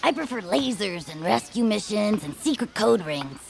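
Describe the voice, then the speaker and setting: Female as laid-back adventurer-inventor: heavy gravel in the voice, lively intonation, audio comedy. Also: medium pitch, moderate pace. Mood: unimpressed. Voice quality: very creaky, gravelly. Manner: plainspoken, easygoing. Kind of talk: audio comedy drama acting.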